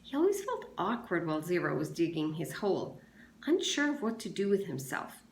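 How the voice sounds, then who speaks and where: A woman's voice: fairly low pitch, very animated, normal speed, slight background noise. A woman, a teacher, reading a children's novel aloud with expression. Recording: echoey room sound.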